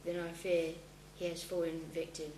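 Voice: mid-range voice, completely flat delivery, moderate pace, darkish tone, close to an everyday voice. A school pupil playing a stern, self-assured male police inspector in an amateur radio play, with stumbling delivery. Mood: resolute. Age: child, about 12 to 13. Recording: echoey room, clear hum.

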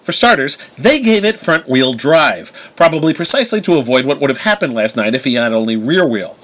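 A man reading the part of a blunt, sarcastic, sharp-tongued woman in an audiobook story. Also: medium pitch, expressive, brisk, voice pushed up. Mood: sardonic. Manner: sardonic, blunt, sweary. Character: sharp-tongued sarcastic woman, self-described fake goddess.

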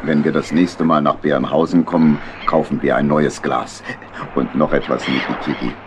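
A man playing a fatherly, shrewd uncle badger with a husky voice and a protective manner in an audio play. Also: rumbling low, level tone, moderate pace, background noise, voice pushed down. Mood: fond, reassuring. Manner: protective, reassuring.

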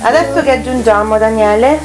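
A woman's voice: fairly high pitch, fairly quick, poor audio. A woman chatting casually as she guides a child through cooking, prompting and correcting in short phrases. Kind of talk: casual instructional chat. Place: home kitchen, indoors. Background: background music.

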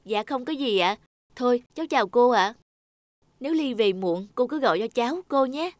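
A woman's voice: on the high side, expressive, moderate pace, dry recording, studio quality, lightly acted. A woman playing a helpful young office worker in a cartoon, speaking politely and attentively with care. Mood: caring. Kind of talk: cartoon dialogue acting.

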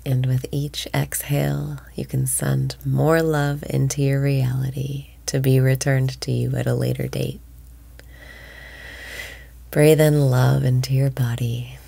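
A woman leading a guided breathing meditation in a slow, soothing voice that often drops to a whisper. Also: a bass voice, little pitch movement, vocal fry, warm tone, husky, no room sound.

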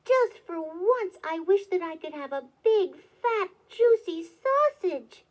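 A grumbling storybook woodcutter, played by a woman. The husband speaks slowly and emphatically in a high voice. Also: animated, heavily acted. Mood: complaining. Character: plain-living, grumbling male woodcutter and husband. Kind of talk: children's storybook character voice.

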